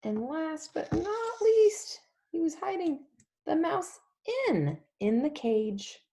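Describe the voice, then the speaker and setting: A woman's voice: high-pitched, very animated, slow, mellow. A woman leading a picture-book read-along for young children, talking in an exaggerated, sing-song way and drawing out key words.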